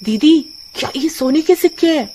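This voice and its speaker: A woman's voice: high-pitched, moderate pace, mellow, a little hiss or hum, voice pushed up, natural voice. A woman voicing an eager, curious village girl, the younger sister in a scripted story. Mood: admiring.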